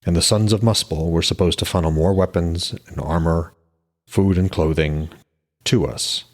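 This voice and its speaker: A man voicing a calm, implacable giant war chief in an even, measured manner for a fantasy audiobook. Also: deep voice, lightly acted. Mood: grave, explaining.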